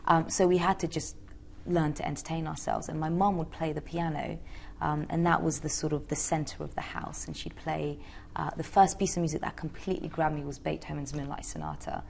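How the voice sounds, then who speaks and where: A woman's voice: low-pitched, fairly even intonation, a little fast, gravelly, a little mellow, slight background noise. A young woman from Georgia answering interview questions in a chatty, hesitant way with many fillers. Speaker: interviewee, singer.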